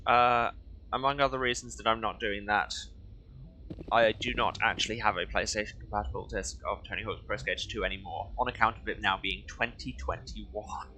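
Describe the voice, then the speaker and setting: A man's voice: fairly low pitch, fairly even intonation, a little fast, bright, forceful tone, slight background noise, low-quality recording. A man giving casual, jokey, self-deprecating commentary as he plays a video game. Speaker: let's play streamer.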